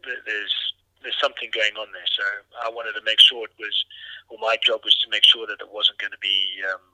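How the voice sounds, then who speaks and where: Middle-aged man from Zimbabwe, low voice with a bright edge, rambling with lots of ums, very dry close recording. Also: a little fast, no echo, poor audio. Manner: relaxed, rambling, many fillers and restarts. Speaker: dog trainer, guest. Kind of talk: casual interview storytelling.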